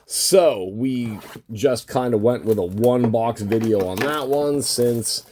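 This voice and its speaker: A man with a voice worn hoarse by a cold, hosting a casual, unscripted card box opening and rambling as he thinks aloud. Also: medium pitch, takes it slowly, darkish tone, a little hiss or hum. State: losing his voice from a lingering cold. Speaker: host of a trading card box-break channel.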